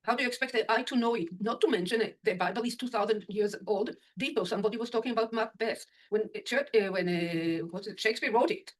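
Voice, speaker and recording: A woman interpreter speaking from the audience, loud and blunt in short emphatic statements. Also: mid-range voice, fast, hardly any pauses. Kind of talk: audience comment.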